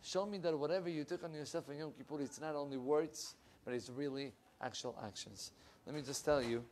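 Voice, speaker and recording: Young male rabbi, animated lecturer with a higher voice, lapel mic. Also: a little fast, slight background noise. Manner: animated, with big swings in pitch and loudness and lively hand gestures. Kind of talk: animated Torah class. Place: wood-panelled room with bookshelves.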